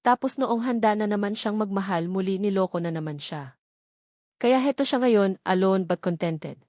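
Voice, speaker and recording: Female Filipino audiobook narrator for first-person romance fiction; mid-range pitch, very dry sound. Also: moderate pace, a little mellow, no echo.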